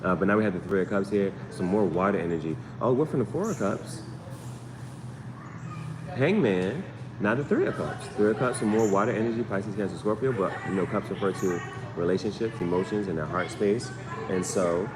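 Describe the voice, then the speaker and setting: A man's voice: sits low, normal speed, pauses often. A man giving a casual live tarot reading, conversational and slangy, often repeating his phrases as he thinks aloud. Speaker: tarot reader, channel host. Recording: echoey room with loud background noise.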